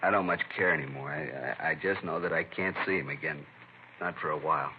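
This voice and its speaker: Upset American dad, middle-aged, very low voice, halting, anguished, old radio play, narrow noisy recording. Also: male voice. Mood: despairing. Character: distraught middle-aged father, anguished and helpless. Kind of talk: 1950s radio drama acting.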